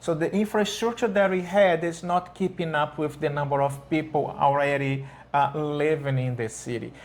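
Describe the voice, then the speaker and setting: A man from Brazil with a Brazilian Portuguese accent, co-hosting a casual travel chat in English with relaxed, slightly halting phrasing. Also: on the high side. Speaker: co-host.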